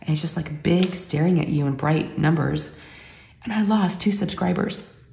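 Woman vlogger, hoarse from illness, deep scratchy voice, mellow, echoey room. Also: moderate pace. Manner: chatty, rambling, folksy. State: hoarse and sick, catching her breath. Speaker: YouTube creator. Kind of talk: casual chat to viewers. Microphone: camera mic.

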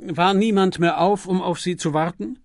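A man voicing a stern, easily indignant male coroner in an audiobook, brisk and commanding as he questions. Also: sits high, steady tone, no room sound, studio quality, voice pushed up. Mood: brisk, official. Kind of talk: audiobook character dialogue.

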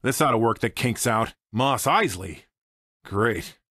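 A man's voice: mid-range voice, animated, takes it slowly, bright, forceful tone, no room sound, full character voice. An American man playing a cocky, wisecracking space smuggler and pilot in a video game, with a dry, sardonic, swaggering manner. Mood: wry, cocky. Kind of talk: video game character voice clips. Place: dry studio recording.

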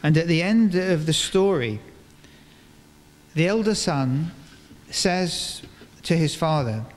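An older man giving a sermon in a large church, speaking into a stand microphone in measured phrases with deliberate pauses. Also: on the high side, lively intonation. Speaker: preacher. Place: large stone church, indoors, before a congregation. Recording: reverberant church hall, clear background noise.

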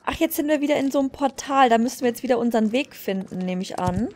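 Female let's play host: higher voice, very animated delivery, chatty and excitable. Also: fast talker. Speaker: gaming channel host. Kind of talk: let's play commentary, reading game dialogue aloud.

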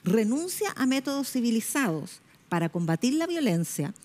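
A woman's voice: a little high, very animated, normal speed, darkish tone. A middle-aged woman journalist giving pointed, critical commentary in a television panel debate, recorded on a lapel mic in a studio.

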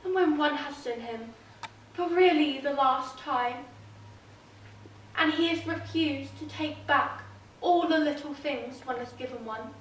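A woman's voice: high-pitched, fairly bright tone. A woman performing a theatrical monologue as a witty, arch Victorian society lady, drawing out and savoring each phrase. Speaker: drama student performing a monologue. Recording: very echoey room, mic far off.

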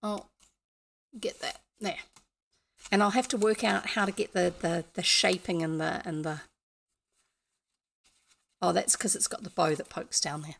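Casting: older New woman, gravelly edge, to host a casual, rambling livestream chat. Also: lowish voice, fairly even intonation, a little slow, pauses often, fairly bright tone. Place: indoor room, home streaming setup.

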